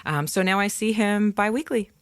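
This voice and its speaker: A woman's voice: medium pitch, moderate pace, smooth, dry recording, studio quality. A woman singer as a podcast guest, candidly talking about her experiences and laughing now and then. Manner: open, candid, laughs while telling stories. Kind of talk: casual interview chat.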